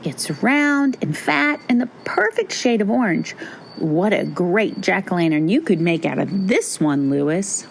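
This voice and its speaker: A woman's voice: medium pitch, animated, a little slow, gravelly, slight background noise. A woman voicing an impatient big sister in a storybook, exasperated and coaxing.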